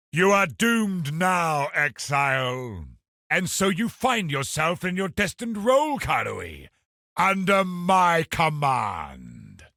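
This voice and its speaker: Give this game boss a raspy, forceful delivery with huge swings in pitch. He is a zealous crusader commander, imperious and cruel. Each line is declaimed slowly and with menace. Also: no echo, clean studio sound, heavily acted. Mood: fierce, commanding. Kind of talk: theatrical video game boss barks.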